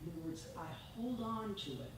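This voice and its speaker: A woman sharing candidly in a group discussion, halting and self-mocking, recorded in an echoey room. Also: lowish voice, big pitch swings, noisy background. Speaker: group participant. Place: group meditation meeting.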